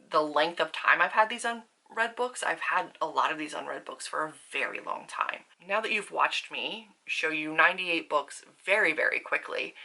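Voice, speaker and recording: A woman speaks in a forward, bright voice that sits a little lower than average. She chats informally to her viewers like a seasoned vlogger, with slangy, self-deprecating asides. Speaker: book vlogger. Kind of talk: casual chat to viewers.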